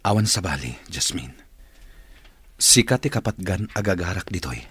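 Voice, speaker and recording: Earnest, low-voiced Filipino boss in love. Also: male voice, animated, moderate pace, a bit bright, slightly rough, no echo, heavily acted. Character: earnest male boss courting his young employee. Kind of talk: Ilocano radio drama acting.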